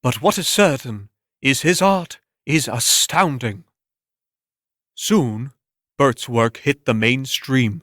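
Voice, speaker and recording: A man's voice: medium pitch, slow, fairly bright tone, no echo. Male story narrator, dry close sound.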